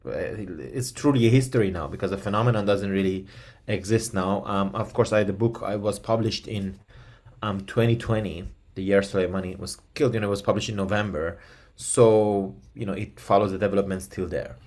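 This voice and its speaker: A man's voice: fairly low pitch, fairly even intonation, fairly quick, darkish tone. A man from Iran whose first language is Persian, answering interview questions at length in a thoughtful way with many fillers and restarts, heard over a video call. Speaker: guest expert.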